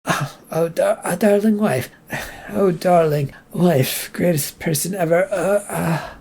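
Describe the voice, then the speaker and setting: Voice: mid-range voice, slow pace, creaky, darkish tone, slightly rough, pitched above the performer's own voice, heavily acted. A reader voicing a stressed male manager who stammers and gets flustered.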